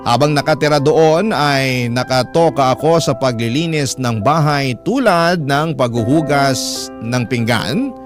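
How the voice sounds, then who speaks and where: A man with a Filipino accent narrating a scripted first-person story in dramatic radio style. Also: mid-range voice. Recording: voice over loud music bed. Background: music.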